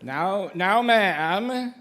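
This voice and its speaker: A man's voice: high voice, animated, extremely slow, a bit bright, heavily acted. A man playing a flustered, deferential master of ceremonies, hesitant and stammering, in a church dramatic reading. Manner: hesitant, stammering, repeats himself.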